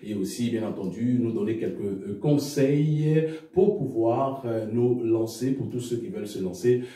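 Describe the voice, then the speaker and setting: A man's voice: medium pitch, measured pace, dark tone, heavy reverb, recorded from a distance. A man hosting a radio interview, speaking formally and hesitating often with fillers.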